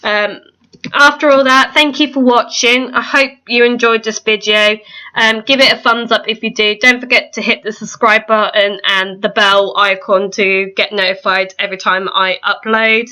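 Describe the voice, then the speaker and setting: A woman's voice: medium pitch, steady tone, moderate pace, sharp tone. A woman in her twenties chatting to camera at home, rambling with lots of ums and self-corrections. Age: 20s. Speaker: vlogger. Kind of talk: casual chat to camera. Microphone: camera, then webcam. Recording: echoey room.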